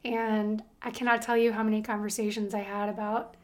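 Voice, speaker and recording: A woman's voice: mid-range voice, level tone, fairly quick. A woman in her thirties co-hosting a casual podcast, playful and chatty. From United States. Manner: playful, laughs, breaks into song. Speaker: podcast co-host. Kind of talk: casual chat.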